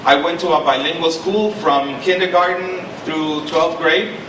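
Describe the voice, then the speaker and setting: A middle-aged man from Honduras speaks at a panel in a slightly higher voice, talking earnestly, repeating himself and stopping to check that his listeners follow. He sounds far from the mic in a big echoing lecture hall. A steady noise and low rumble sit behind his voice. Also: a little slow. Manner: earnest, repeats and restarts, stresses points with "okay?". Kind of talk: panel talk with personal story. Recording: echoey hall, mic from a distance, noisy.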